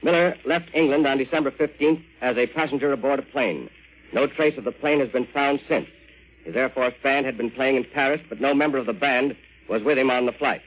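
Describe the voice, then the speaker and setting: A man with an American accent reading a scripted 1940s radio news bulletin in a formal, measured manner. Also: mid-range voice. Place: radio newsroom. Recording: muffled vintage 1940s radio broadcast.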